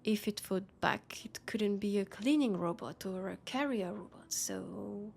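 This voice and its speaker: Woman acting a slow-spoken, worried French-accented colleague. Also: medium pitch, vocal fry, darkish tone. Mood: exasperated, disbelieving. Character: Nyx, worried, exasperated female police colleague and roommate. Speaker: roleplay audio performer. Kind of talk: intimate sci-fi audio roleplay acting.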